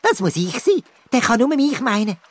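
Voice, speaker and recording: A small, excitable male cartoon bird with a Swiss German accent, giggling through his lines. His voice sits fairly high and leaps all over the place. It is recorded dry and close for a children's audio play. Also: moderate pace, no echo. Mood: cheerful.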